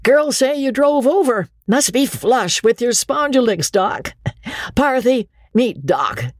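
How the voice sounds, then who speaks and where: A woman's voice: fairly high pitch, animated, takes it slowly, no room sound, clean studio sound, voice pushed up. Woman doing a hearty riverboat showboat captain. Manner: hearty, boastful introductions. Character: jovial middle-aged male riverboat showboat captain.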